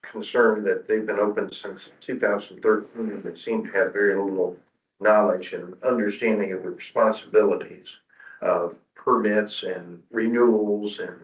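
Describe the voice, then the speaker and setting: A man comments as a commission member at a formal meeting, deliberate and stern, with some halting. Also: on the low side, steady tone. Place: indoor public meeting room. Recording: distant mic, echoey room, dull sound.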